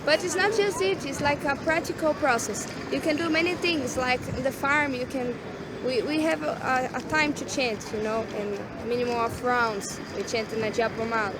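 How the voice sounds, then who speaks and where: A woman's voice: high-pitched, steady tone, moderate pace. A young Brazilian woman speaking earnest, simple English with a Brazilian Portuguese accent, Portuguese being her first language, in a casual street chat. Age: young adult. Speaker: Hare Krishna devotee. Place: busy city street outdoors. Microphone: hidden recorder. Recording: loud street noise, secret recording. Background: loud traffic.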